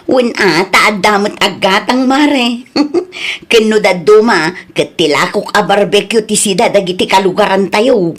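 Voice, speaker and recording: A woman's voice: medium pitch, a little slow, close to an everyday voice. A woman with an Ilocano accent playing a brash, evasive female street vendor in an audio drama. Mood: cheerful, chatty.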